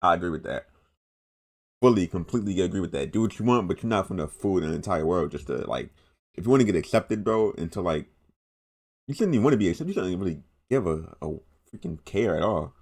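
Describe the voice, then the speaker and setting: A man's voice: sits low, expressive, fast talker, darkish tone. A young man with an American accent giving casual, unscripted reaction commentary in a slangy, repetitive, rambling way. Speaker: reaction video host.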